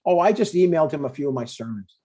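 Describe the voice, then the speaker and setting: A man's voice: a little high, expressive, fast talker, warm tone. A man playing a chatty, stubborn older father in a comedy table read, in a conversational, mildly needling way. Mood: pleased, chatty.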